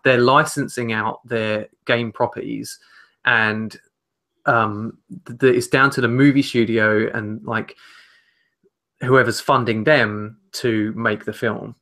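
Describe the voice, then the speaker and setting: A man's voice: medium pitch, steady tone, slow pace, sharp tone. A young man co-hosting a podcast, talking casually and carefully qualifying his points. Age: young adult. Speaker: podcast co-host. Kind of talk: casual discussion. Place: home room with shelves.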